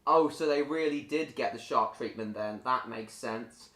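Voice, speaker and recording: A man's voice: medium pitch, normal speed, fairly bright tone. A man hosting a live stream, chatting casually and rambling to his viewers as he reacts to videos. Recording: echoey room.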